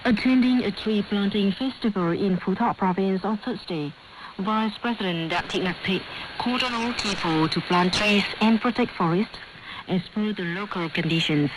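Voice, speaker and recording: A woman's voice: mid-range voice, normal speed. A woman reading formal scripted news as a radio newsreader, heard over shortwave radio. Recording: noisy, hissy shortwave radio broadcast.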